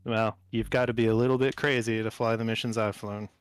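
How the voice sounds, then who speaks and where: A man voicing a seasoned, cocky combat pilot in a tabletop game, speaking deliberately and matter-of-factly. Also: lowish voice, little pitch movement, clean tone, dry recording, close to an everyday voice. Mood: determined, wry. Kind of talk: tabletop roleplay dialogue.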